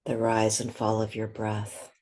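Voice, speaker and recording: A woman leading a guided meditation, speaking gently and unhurriedly, with long pauses between short phrases. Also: very deep voice, completely flat delivery.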